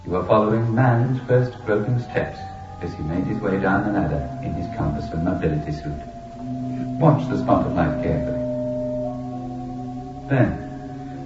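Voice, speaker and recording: Deep, echoing sentinel machine. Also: male voice, animated. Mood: grave, stately. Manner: grave, measured, ceremonial tour-guide delivery. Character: solemn machine sentinel guarding an ancient shrine. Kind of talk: science fiction radio drama acting. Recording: echoey, distant, dull radio recording with music.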